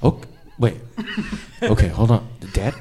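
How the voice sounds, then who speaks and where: A man playing an anxious, doubtful young son in improvised comedy, halting and hedging as he politely protests. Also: lowish voice, expressive, mellow. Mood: nervous. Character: anxious, doubtful young son questioning his dad's gifts. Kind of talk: improvised radio theater comedy.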